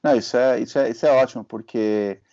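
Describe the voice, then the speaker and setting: A Brazilian man hosting an interview, telling stories in a relaxed way and checking in with his listener as he goes. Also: medium pitch, quick pace. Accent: Brazilian Portuguese. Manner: conversational storytelling, checks in with "né?" and "certo?". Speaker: host and physician. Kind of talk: casual interview chat. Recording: muffled lo-fi audio.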